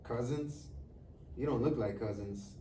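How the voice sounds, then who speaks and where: An actor playing a young, stern and sarcastic Border Patrol agent in a live comedy table read, questioning curtly. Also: male voice, medium pitch, a little slow, mellow, lightly acted. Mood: suspicious. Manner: curt, authoritative questioning. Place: live reading in a room. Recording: echoey room, distant mic, faint noise.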